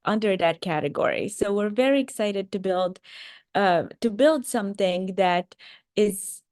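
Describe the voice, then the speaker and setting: A woman's voice: mid-range voice, darkish tone, no room sound, clean studio sound. A woman from Romania with a slight Romanian accent, giving a career talk to students and speaking earnestly, with many ums and restarts. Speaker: startup founder and CEO.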